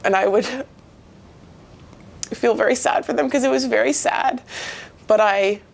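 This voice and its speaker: A woman's voice: a little high, a little slow, gravelly. A woman in her forties from Maine with an American accent, giving a reflective, earnest personal account in an interview. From Maine, United States. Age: 40s.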